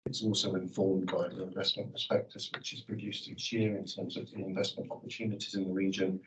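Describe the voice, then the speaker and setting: A man with a very deep, slightly croaky voice presents a formal policy update to a committee. He speaks in long, jargon-heavy sentences with occasional fillers. He sounds set back from the microphone. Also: flat delivery, a little fast, fairly bright tone, recorded from a distance, a little hiss or hum, low-quality recording. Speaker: officer presenting a report.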